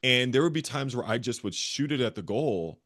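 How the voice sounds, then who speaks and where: A man with a bass voice, a therapist and guest, earnestly telling his own story and often repeating phrases as he searches for words. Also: animated, normal speed, a bit bright. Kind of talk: candid personal storytelling.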